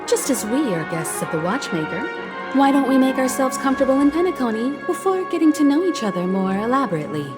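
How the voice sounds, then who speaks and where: A woman's voice: sits high, very animated, fast talker. A woman voicing a poised, persuasive navigator in a video game, speaking in a polished, diplomatic way. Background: game music.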